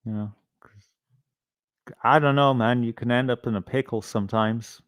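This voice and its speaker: A man's voice: medium pitch, steady tone, normal speed, a bit bright, clear, resonant tone, dry recording. A middle-aged man with an Irish accent hosting a casual live stream, swearing freely as he rambles and hesitates through his commentary. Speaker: livestream host.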